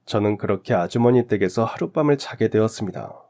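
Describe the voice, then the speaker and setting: Male narrator for sentimental radio stories, lowish pitch, measured scripted first-person delivery. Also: expressive, warm tone. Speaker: story narrator.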